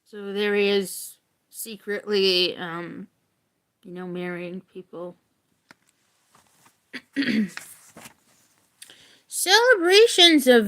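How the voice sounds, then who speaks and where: A woman teacher reading a story aloud expressively and deliberately, with casual explanatory asides. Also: fairly high pitch, a bit bright.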